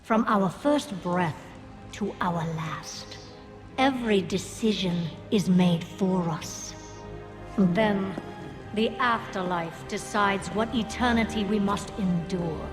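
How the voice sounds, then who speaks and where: A woman playing a brooding, defiant undead banshee ranger in a game cinematic, speaking with deliberate menace and breathy edges. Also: mid-range voice, close to an everyday voice. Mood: brooding, persuasive. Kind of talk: dramatic game cinematic acting. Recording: cinematic music behind the voice.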